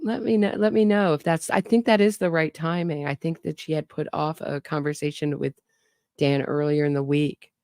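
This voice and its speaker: A woman's voice: sits low, steady tone, normal speed, a little mellow, no echo, studio quality. A woman giving skeptical, wry commentary on a true crime case and speaking straight to her audience. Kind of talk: casual commentary over interrogation clips.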